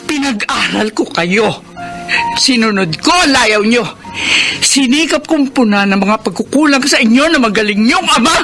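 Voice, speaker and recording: A woman's voice: on the high side, lively intonation, moderate pace. A woman playing a middle-aged, stern and hurt mother in a Filipino radio drama, reproachful and emphatic, with a Filipino accent. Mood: hurt, bitter. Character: stern, hurt mother of a rebellious student daughter. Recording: radio drama with loud music underneath.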